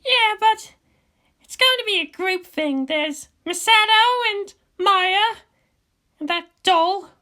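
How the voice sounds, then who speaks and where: A woman's voice: very high-pitched, takes it slowly, pushed and bright, voice pushed up, full character voice. A woman voicing a proud, brash but vulnerable teenage girl in a fan fiction reading. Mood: sulky.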